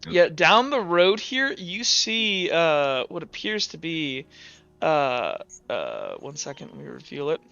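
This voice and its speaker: A woman's voice: deep voice, sing-song, takes it slowly, gravelly, a bit bright, highs cut off. A woman improvising narration as game master of a tabletop game, in a casual, informal way. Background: music.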